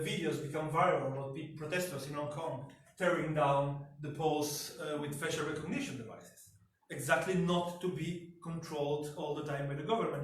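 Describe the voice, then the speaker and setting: A man presenting an academic talk at a conference as a researcher. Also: a little high. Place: indoor symposium room. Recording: very echoey room, distant mic.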